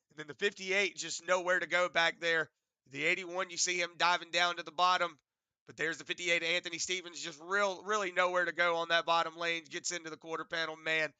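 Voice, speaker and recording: Hoarse man calling a sim truck race. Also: sits high, dry recording. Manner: relaxed, rambling play-by-play with fillers. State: hoarse from a sinus infection. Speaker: race commentator. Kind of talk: play-by-play sim racing commentary.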